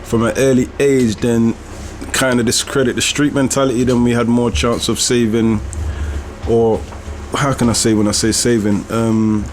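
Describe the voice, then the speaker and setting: A man hosting a reflective podcast conversation, speaking in an earnest, deliberate way. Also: medium pitch, little pitch movement, a little mellow, a little hiss or hum. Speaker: podcast host and author.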